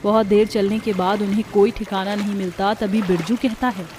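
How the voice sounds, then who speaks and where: A woman's voice: medium pitch, fairly even intonation, fairly quick, background noise. A woman narrating a scripted story as the storyteller.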